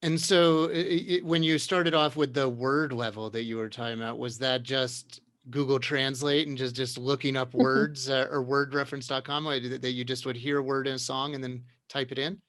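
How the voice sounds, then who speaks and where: A man's voice: medium pitch, fairly even intonation, normal speed, hardly any pauses, fairly bright tone, dry recording. A man hosts an online panel in a chatty, encouraging way, often stumbling and restarting as he asks questions. His voice sits mid-range and sounds close and dry. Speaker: moderator. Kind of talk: hosting an online round-table.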